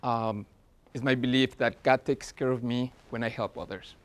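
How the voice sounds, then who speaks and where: A man's voice: mid-range voice, fairly even intonation, moderate pace. A man in his forties with a Spanish accent, presenting at a business workshop in an earnest way, with frequent fillers and restarts. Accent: Spanish-accented English.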